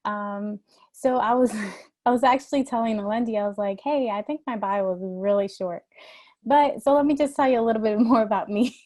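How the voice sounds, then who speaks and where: A woman's voice: a little high, normal speed, a little mellow, no echo, clean studio sound. A woman in her thirties, born in Ecuador and raised in New York City, talking in a chatty, friendly way as an alumni panelist and laughing between remarks. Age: 30s. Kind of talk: casual panel talk.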